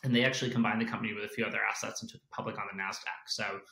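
Man, 30s, American accent, bright tone, roomy echo, video call, relaxed with fillers. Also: fairly low pitch, very fast, creaky. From South Africa. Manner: relaxed, many "uh" and "you know" fillers. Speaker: venture capital investor guest. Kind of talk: casual interview. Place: home office. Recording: echoey room on a video call.

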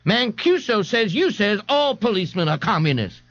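A man voicing a gruff, exasperated police sergeant, barking and sarcastic, in an audiobook. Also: sits high, very animated, normal speed, a bit bright, highs cut off, voice pushed up, full character voice. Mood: stern.

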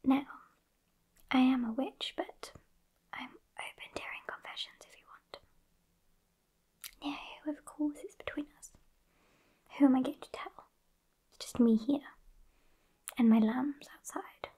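A woman's voice: high voice, fairly even intonation, a little slow, long pauses, full character voice. Woman as a whispering sassy British witch. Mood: soothing, chatty. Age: young adult. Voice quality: soft, breathy whisper. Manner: chatty, teasing, gently bossy. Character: sassy, scatty witch casting sleep spells. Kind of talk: ASMR roleplay. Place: small indoor candlelit set. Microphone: close microphone.

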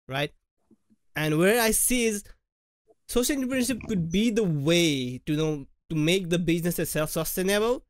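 Young guy host on a studio mic, bit higher voice, dry close sound, says "like" and "right?" a lot. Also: no echo. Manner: thoughtful, searching for words with "like" and "right?". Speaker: podcast host. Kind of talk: podcast interview. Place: home studio room. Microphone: large studio mic with headphones.

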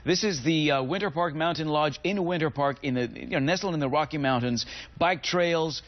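A man's voice: a little high, normal speed, dull audio. A middle-aged man speaking as an enthusiastic, salesy travel expert in a TV studio segment. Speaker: travel editor, guest expert. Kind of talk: upbeat TV travel segment presentation.

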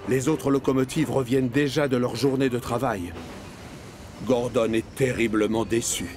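A man's voice: medium pitch, steady tone, moderate pace, natural voice. A man narrating a children's cartoon like a storybook. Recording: loud background music.

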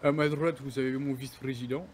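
A man roleplaying a biker club president, speaking in a composed, deliberate way. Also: medium pitch, fairly even intonation, background noise. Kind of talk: calm measured roleplay talk.

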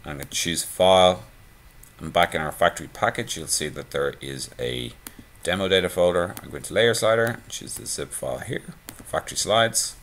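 Very deep-voiced man talking through a screencast. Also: normal speed. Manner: relaxed, conversational, many fillers. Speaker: theme tutorial presenter. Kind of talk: screencast walkthrough.

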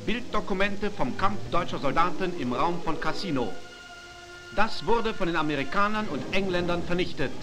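A man's voice: high-pitched, expressive, normal speed, low-quality recording. A man narrating a wartime 1940s newsreel from a script in a formal, declarative announcer style, on an archival soundtrack. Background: loud music.

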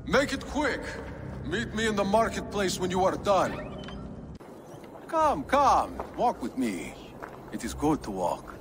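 Smug middle-aged game villain, slow menacing delivery, swooping pitch, mock-gentle, theatrical. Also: male voice, big pitch swings, over music, full character voice. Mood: mock-gentle menace. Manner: theatrical, mock-gentle, relishing his words. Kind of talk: video game cutscene acting.

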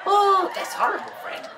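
A woman voicing an excitable, friendly boy puppet, explaining things in an animated way for a children's show. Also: very high-pitched, far from the mic, noisy background. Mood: excited, silly.

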